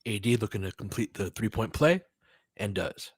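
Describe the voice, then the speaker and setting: A man's voice: lowish voice, animated, normal speed, husky, dry recording. Man calling basketball in clipped, informal phrases. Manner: clipped phrases mixed with conversational fan asides. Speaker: basketball play-by-play commentator and analyst. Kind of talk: informal live play-by-play commentary with coaching analysis.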